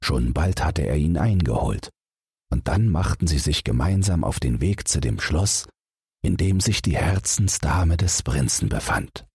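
A male audiobook narrator with a low, strongly breathy and husky voice. He reads a fairy tale for bedtime at an unhurried, measured pace, expressively. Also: animated, darkish tone, dry recording, clean studio sound.

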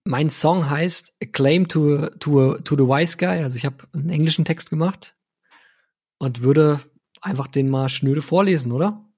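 A man's voice: a little high, a little slow, darkish tone, slightly rough. A man chatting casually as co-host of a podcast. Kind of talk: casual podcast chat, reading out own lyrics.

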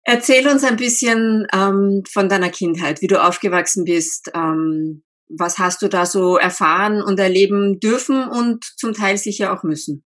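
A woman's voice: mid-range voice, moderate pace, hardly any pauses. A woman, a linguist, hosting a podcast interview, talking with enthusiasm and encouragement. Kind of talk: podcast interview, scripted intro.

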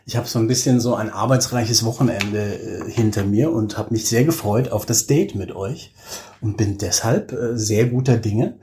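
A man's voice: on the low side, normal speed, mellow, slight background noise. A middle-aged man from Hesse, Germany, chatting casually and with easy humour as an interview guest. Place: hotel room.

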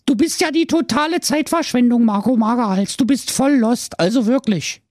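A man voicing a cold-hearted, snooty young princess in a very high, dismissive voice. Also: normal speed, a little mellow, dry recording, studio quality. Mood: scornful. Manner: shrill, dismissive.

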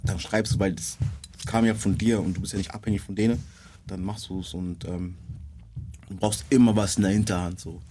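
A man's voice: low-pitched, steady tone, brisk, mellow, a little hiss or hum. A young man speaking as a rapper guest in a casual interview, laid-back and full of street slang.

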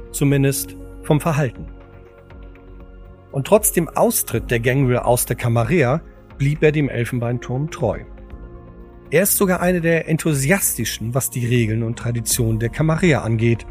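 Man, audio drama narrator, scripted reading, medium pitch, background music. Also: darkish tone.

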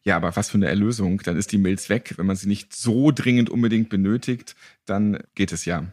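A male interviewer with a rather low, husky voice. He chats casually with his guest, responding in everyday slang and playful wordplay. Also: brisk, a little mellow. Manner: jokey, colloquial reactions with wordplay. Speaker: interviewer, podcast host.

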